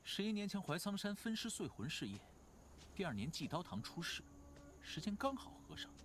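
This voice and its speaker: An actor playing a sly, playful young male cultivator in an animated fantasy drama, musing sardonically. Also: high voice, brisk, background noise. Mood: musing, wry. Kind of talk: animated drama dub acting.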